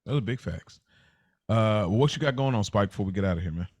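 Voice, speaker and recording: A man's voice: fairly low pitch, expressive, fairly quick, darkish tone, husky, dry recording, studio quality. An American man hosting a podcast, talking casually and motivationally in a blunt, slangy, emphatic way. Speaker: podcast host.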